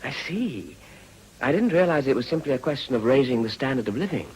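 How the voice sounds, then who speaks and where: A man playing a witty, idle gentleman politician in a period radio drama, urbane and dry, with an upper-class English accent. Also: mid-range voice, sing-song, fairly quick, husky, rough recording. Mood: dry, sardonic. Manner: urbane, dry, teasing, articulate.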